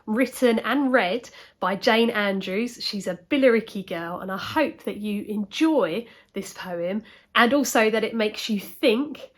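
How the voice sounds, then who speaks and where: An English woman hosts in a friendly, direct address to viewers. Her voice is of medium pitch. She is appreciative and encouraging as she presents. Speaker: host introducing a reading.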